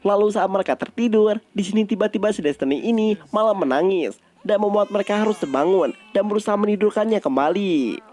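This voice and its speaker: A man's voice: high-pitched, fast, darkish tone. A man with an Indonesian accent narrating a story recap in an informal, scripted style.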